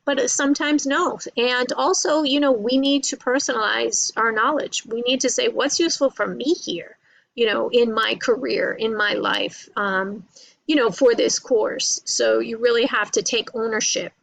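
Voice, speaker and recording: A woman's voice: a little high, normal speed, vocal fry, a bit bright, no room sound. A woman lecturing informally to college students, explaining in a conversational way, repeating points and often checking in with "right?" and "you know".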